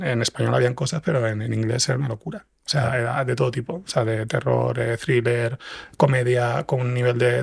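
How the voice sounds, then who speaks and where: A man from Spain with a Spanish accent and a husky, gravelly voice, chatting casually as an interview guest and telling anecdotes. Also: mid-range voice. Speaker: podcast guest, fiction writer and comics editor. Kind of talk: casual interview answers, storytelling.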